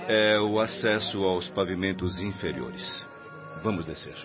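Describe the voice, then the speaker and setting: A man playing a caring, authoritative hospital supervisor in a radio drama, measured and instructive, with a Brazilian accent. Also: low-pitched. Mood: calm, explanatory. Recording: dull radio-drama sound, music behind.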